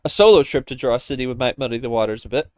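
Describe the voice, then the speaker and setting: An excitable commentator calling a card game live like a sporting event, prone to exclamations. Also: medium pitch, quick pace, no echo, clean studio sound. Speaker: play-by-play commentator.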